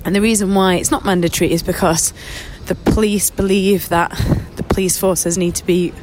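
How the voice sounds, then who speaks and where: A woman's voice: medium pitch, fairly even intonation, moderate pace, background noise. A woman with a cold, sounding bunged up and sniffly as she narrates a documentary in a candid, personal way. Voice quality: nasal, congested. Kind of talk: reflective voice-over narration.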